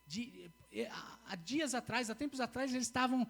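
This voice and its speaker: High-voiced preacher. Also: male voice, animated, normal speed, creaky, a bit bright. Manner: earnest, repeats key phrases, restarts mid-sentence. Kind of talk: sermon. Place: church.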